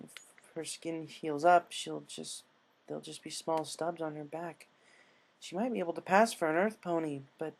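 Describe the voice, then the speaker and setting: A man voicing a solemn, apologetic female nurse pony with a gentle, hesitant, grave delivery. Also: fairly high pitch, gravelly, voice pushed up, poor audio.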